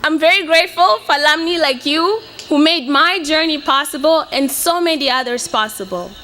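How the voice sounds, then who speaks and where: Young woman from Mozambique, Portuguese native, earnest speech, high bright voice, faint room noise. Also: animated, moderate pace. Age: 20s. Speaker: student speaker. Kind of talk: prepared speech to an audience.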